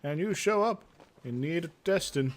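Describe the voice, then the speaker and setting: A man voicing a scarred young fantasy game warrior, bitter but earnest and eager to prove himself, with a solemn, measured, dramatic delivery. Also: a little high, lively intonation, voice pushed up, natural voice. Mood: bitter, resigned.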